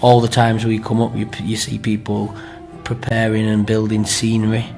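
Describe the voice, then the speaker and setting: A man's voice: fairly low pitch, completely flat delivery, normal speed, creaky, darkish tone, soft background music. A middle-aged man with a Greater Manchester accent, chatting in an interview in a rambling way and often checking with tag questions. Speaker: amateur pantomime performer being interviewed.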